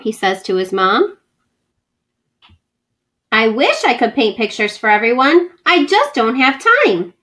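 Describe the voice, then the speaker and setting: Woman, school read-aloud host, high lively voice, warm teacherly asides. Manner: gentle, animated reading with teacherly asides to children. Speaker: school librarian reading aloud. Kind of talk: children's read-aloud storytelling. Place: home.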